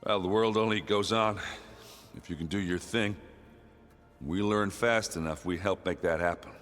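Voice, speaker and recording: A man's voice: low-pitched, moderate pace, a bit bright. A man playing a burly, good-natured warrior in a game cutscene, with an informal, jokey way of talking. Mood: casual, joking. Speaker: game character actor. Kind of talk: animated game cutscene acting. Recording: reverberant hall, music behind.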